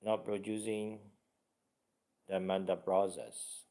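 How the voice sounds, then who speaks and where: A man guiding a meditation as a teacher, speaking deliberately in short, halting phrases with much repetition. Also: sits low, little pitch movement, dark tone.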